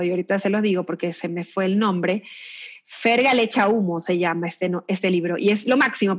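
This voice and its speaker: Chatty Mexican woman on a muffled call. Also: medium pitch. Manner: chatty, with frequent restarts and laughs. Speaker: guest recommending children's books. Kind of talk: casual chat.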